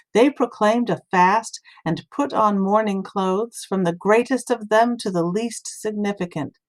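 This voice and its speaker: A woman reading scripture aloud as expressive, dramatic storytelling narration at a medium pitch. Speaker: scripture reader.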